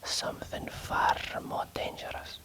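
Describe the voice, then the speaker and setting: A man voicing a weathered old fisherman who is gruff and mischievous, speaking in a conspiratorial whisper. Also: measured pace, pushed and bright.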